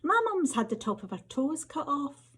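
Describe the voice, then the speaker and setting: A woman's voice: high voice, sing-song, moderate pace, fairly bright tone, voice pushed up. A woman reading the part of an earnest schoolgirl with a Scottish accent, keen to impress, in a story. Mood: insistent.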